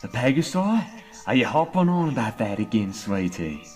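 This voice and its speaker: A man's voice: medium pitch, very animated, normal speed, darkish tone, soft background music, natural voice. A man playing a rustic, opinionated earth pony father in a fan audio story, talking in folksy country phrasing. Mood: grumbling. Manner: folksy rural dialect grammar. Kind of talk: fan audio drama acting.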